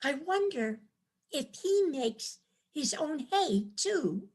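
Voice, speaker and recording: Woman acting high-voiced, wide-eyed tourist wife. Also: very animated, takes it slowly, a little mellow, exaggerated voice. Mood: delighted. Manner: eager, wide-eyed, chirpy. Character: naive, cheerfully enthusiastic tourist wife, easily delighted. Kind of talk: amateur staged play reading.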